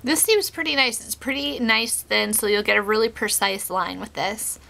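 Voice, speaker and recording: A young woman talks through her makeup routine in a casual, chatty way, filling pauses with "um" and "like". Her tone is bright and a little edgy, and her voice is worn from a cold. She sits close to a webcam in a small bedroom. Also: medium pitch, creaky. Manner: chatty, relaxed, many fillers like "um" and "like". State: getting over a cold, voice going in and out. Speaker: beauty vlogger.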